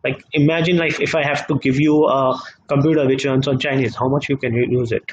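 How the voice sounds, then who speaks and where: A man speaking as a guest in a live online discussion, halting often with fillers and repeated words. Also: mid-range voice, fairly even intonation, lo-fi sound, a little hiss or hum. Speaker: guest economist.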